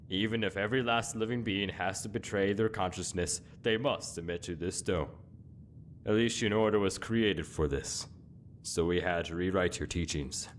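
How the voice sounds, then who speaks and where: A man's voice: low voice, a little hiss or hum. A man playing a grave, self-righteous inquisitor in a fantasy game, speaking in solemn, dramatic rhetoric. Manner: solemn, deliberate, rhetorical speechmaking. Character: zealous old male inquisitor, grave, self-righteous, cracking with anguish.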